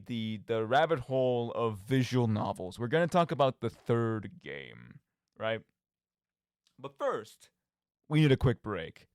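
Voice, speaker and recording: A man rambles casually as a solo radio host, with a very lively, swooping delivery. He has a theatrical streak and talks in chatty asides. His mid-pitched voice sounds close and dry. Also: smooth, no echo. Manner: animated, theatrical mock outbursts, conversational asides. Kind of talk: casual rambling monologue about video games.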